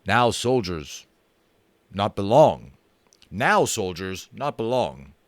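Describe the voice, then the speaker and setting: A man voicing a stern, proud Native chief who speaks gruffly in clipped, broken phrases. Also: lowish voice, very animated, slow pace, no echo.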